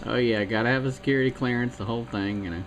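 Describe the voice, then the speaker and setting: A man's voice: medium pitch, steady tone, racing. A man from South Carolina checking in on a CB radio net, rambling in a casual, chatty way and laughing at himself. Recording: noisy CB radio.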